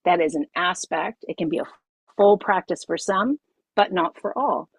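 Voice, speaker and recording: A middle-aged woman teaching a group as a yoga teacher over a video call, her voice husky and a little low. She talks with expressive emphasis and repeats her key lines. The sound is dry and close. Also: normal speed, dry recording. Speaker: yoga teacher leading a masterclass.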